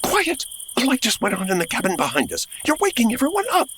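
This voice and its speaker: A woman's voice: fairly high pitch, wildly swooping pitch, quick pace, a bit bright, slight background noise. A woman playing an exasperated, fussy radio sponsor man, dry and indignant, in a comedy audio drama. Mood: alarmed, testy.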